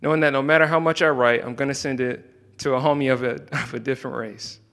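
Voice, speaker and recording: A young man, mid-pitched and soft-spoken, reads his own spoken word poems indoors. He holds a handheld microphone, and his voice trails off into a long hall reverb. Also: steady tone, fast. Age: young adult. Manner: soft-spoken, hesitant between poems, with filler ums. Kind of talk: spoken word poetry reading. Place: indoor room, standing by a wall.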